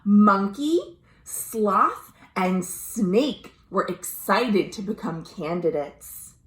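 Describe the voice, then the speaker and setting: An American woman reading a children's story aloud, expressive and dramatic, pausing to explain things simply. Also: medium pitch. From United States. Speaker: librarian, storytime host. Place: at home. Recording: roomy, echoey home recording.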